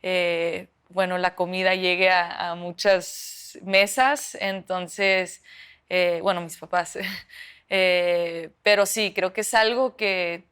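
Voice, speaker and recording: A young woman from the United States talks through her answers in an interview, stopping and restarting with frequent fillers. Her voice sits low and smooth, with a clear tone. Her pitch barely moves. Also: flat delivery, slow pace, a bit bright. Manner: hesitant, many fillers and restarts. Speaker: professional footballer.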